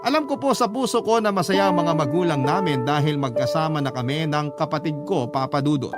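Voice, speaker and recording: A man's voice: fairly high pitch, brisk. A man hosting a radio story show, reading a letter as scripted narration in an earnest, sentimental way. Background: loud instrumental music.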